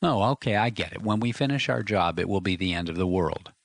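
A man voicing a gruff, skeptical homesick engineer from Louisiana. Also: sits low, expressive, a little fast, no room sound, full character voice.

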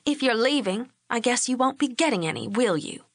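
A woman voicing a sharp-tempered young wife and mother in a storybook, with a hard, defensive edge. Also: fairly high pitch, animated, normal speed, dry recording, clean studio sound, voice pushed up, full character voice. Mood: angry.

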